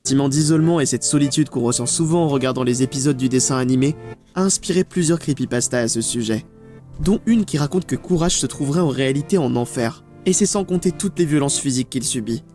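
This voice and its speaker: A man's voice: medium pitch, fast talker, dark tone. Man narrating a scripted video essay over music. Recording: music under the voice. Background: background music.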